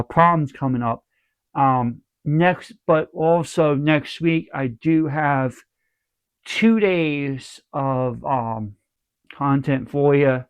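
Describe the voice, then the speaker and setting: A man's voice: medium pitch, smooth, no room sound. A congested man giving his channel a casual, rambling update, keeping his voice down and circling back to repeat himself. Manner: speaking softly to spare his throat. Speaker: channel host. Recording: dull lo-fi dry recording.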